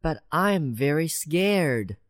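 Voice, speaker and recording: A woman voicing a small, anxious country mouse in a children's storybook, squeaky and slow. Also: animated, dry recording, clean studio sound. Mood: calm.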